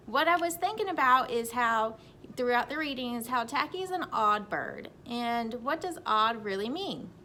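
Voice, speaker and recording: A woman's voice: on the high side, pushed and bright, slight background noise. A woman teacher reading a storybook aloud to children and talking to them expressively.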